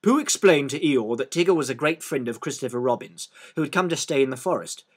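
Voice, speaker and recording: A man reading a children's story aloud as an expressive narrator. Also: mid-range voice, brisk, husky.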